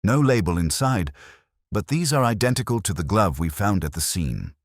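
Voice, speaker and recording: A man voicing a measured, shrewd police inspector in a mystery story, speaking quietly and deliberately. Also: fairly low pitch, sing-song, no room sound, clean studio sound, pitched above the performer's own voice. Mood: quietly knowing.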